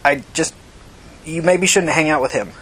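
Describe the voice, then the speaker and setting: A woman's voice: low-pitched, moderate pace, a bit bright, breathy edge, poor audio. A woman voicing an odd, hesitant, fearful homeless man in a story reading, speaking in terse fragments. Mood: wary, halting. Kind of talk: audiobook character acting.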